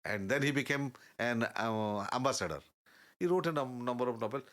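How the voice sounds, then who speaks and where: Older man, mid-pitched, earnest guest who pauses often to find his words. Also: animated, a bit bright. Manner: halting, searching for words, earnest and advising. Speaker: interview guest. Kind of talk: reflective storytelling in conversation.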